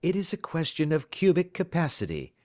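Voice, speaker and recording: A man voicing a brilliant, self-assured detective in an audiobook, lecturing crisply and precisely with a teasing edge. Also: fairly high pitch, wildly swooping pitch, a little fast, darkish tone, voice pushed up. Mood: triumphant, explaining. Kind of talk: audiobook character dialogue.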